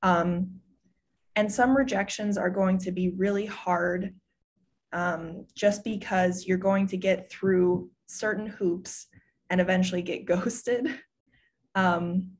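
A young woman on a panel over a video call, candidly sharing experience and advice in a conversational way. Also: medium pitch. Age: young adult. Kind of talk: informal presentation with advice. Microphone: video call microphone.

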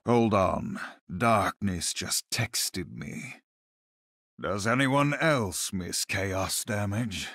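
Brooding male demon hunter, video game character, creaky gravel voice, haughty, dry, theatrical, close dry studio sound. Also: fairly low pitch, very slow, fairly bright tone, no room sound, heavily acted. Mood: dry sarcasm.

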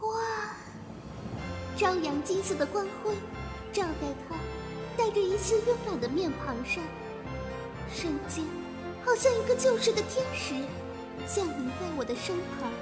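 Very high-pitched, whiny servant girl. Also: expressive, measured pace, long pauses. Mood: starstruck. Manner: whining, exclamatory, melodramatic. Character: overworked bond-servant girl, dramatic, starstruck, cheekily desperate. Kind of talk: Chinese comedy audio drama acting. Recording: loud music behind the voice.

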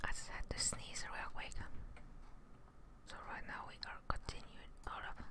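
A young woman giving hushed, whispered ASMR commentary on a video game in short murmured reactions, close to the microphone. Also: moderate pace. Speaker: gaming streamer. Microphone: microphone close in front, with headset.

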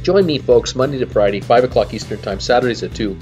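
A man's voice: medium pitch, animated, fast, darkish tone. Chatty man, faint music behind. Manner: chatty, folksy, addresses viewers as folks. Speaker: cruise travel vlogger. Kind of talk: casual commentary over travel footage. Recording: slightly dull sound with faint music behind.

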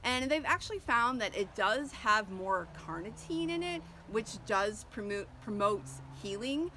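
A woman's voice: on the high side, expressive, a little slow, sharp tone, a little hiss or hum. A woman in her thirties, a homesteader, chatting casually about her chickens outdoors beside a coop, with many ums and restarts. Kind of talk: casual educational vlog. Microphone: camera at close range.

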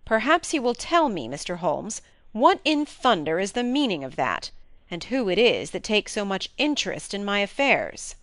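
A woman acts out a blunt, plain-spoken gentleman from a classic storybook. The delivery is emphatic and colloquial, swinging widely in pitch. The recording is close and dry. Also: very animated, moderate pace, dry recording, natural voice. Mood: blunt, impatient. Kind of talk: acted audiobook dialogue.

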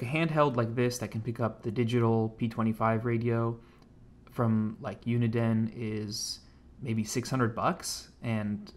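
An American man talks through a hobby electronics demo in a medium-pitched voice. His delivery is laid-back and informal, like someone explaining a project at his desk. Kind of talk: casual tech demonstration. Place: indoors at a home computer desk.

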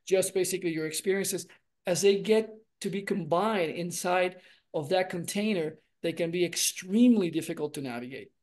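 Earnest higher-voiced man on a video call. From Puerto Rico. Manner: earnest, expressive, gestures a lot, restarts phrases. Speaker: guest, relationship coach and doctor. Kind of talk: conversational interview storytelling. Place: home room with framed pictures, indoors.

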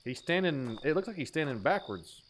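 A man's voice: a little high, big pitch swings, a little fast, slight background noise. A man in his thirties giving crude, playful, exaggerated joking commentary as he streams a horror game.

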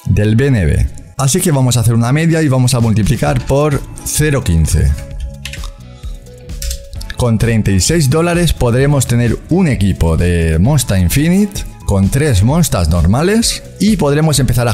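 A man's voice: medium pitch, a little mellow. Man explaining crypto game prices. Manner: explanatory, walks through prices and calculations step by step. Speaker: crypto gaming channel host. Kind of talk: screen-recorded walkthrough commentary.

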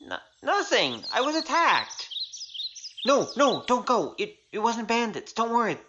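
Woman acting a bright, cheeky young male rogue. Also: fairly high pitch, very animated, unhurried, highs cut off, a little hiss or hum. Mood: wheedling, nervous. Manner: wheedling, flippant, hesitant when cornered. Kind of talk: audio drama acting.

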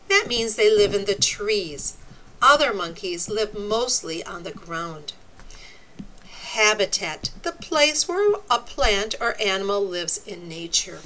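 Animated, high-voiced woman reading to children. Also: low-quality recording. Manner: deliberate, expressive, teacherly phrasing. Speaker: reader of a children's nonfiction book. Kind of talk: read-aloud for young children with explanatory asides.

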